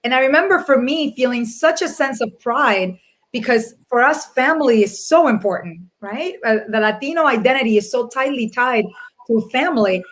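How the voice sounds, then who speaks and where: This is a middle-aged woman from the Dominican Republic with a fairly high voice, speaking on a panel over a video call. She sounds earnest and reflective, and she often stops to restart a thought. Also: expressive, normal speed. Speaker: panelist, corporate people executive. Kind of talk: panel discussion.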